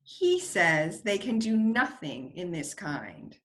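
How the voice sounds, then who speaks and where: A woman reading the part of a gentle, compassionate noble bride in Shakespearean verse at an amateur table read over video call. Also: on the low side, big pitch swings, takes it slowly, full character voice. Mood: gentle, reluctant.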